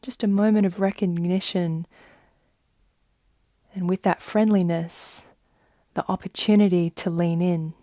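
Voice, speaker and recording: A woman leading a guided meditation in a soft, soothing manner, with long unhurried pauses. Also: lowish voice, steady tone, dry recording, studio quality. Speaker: yoga nidra guide.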